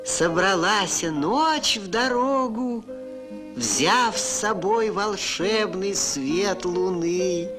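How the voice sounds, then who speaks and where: A calm lady ball of yarn in a children's cartoon. She has a medium-pitched voice and recites lyrical verse extremely slowly, with music clearly behind her. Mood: gentle. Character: female ball of yarn, calm storybook toy.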